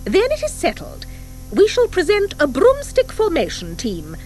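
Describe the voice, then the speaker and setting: Strict witch-school form mistress for a children's audiobook, played by a woman: high, commanding, crisp, with swooping emphasis. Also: wildly swooping pitch, moderate pace, a little mellow, slight background noise, exaggerated voice, poor audio. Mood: authoritative. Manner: crisp, commanding, precise, piercing. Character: stern, formidable, brisk schoolmistress at a witches' academy. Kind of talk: audiobook character acting. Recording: 1984 audiobook from cassette.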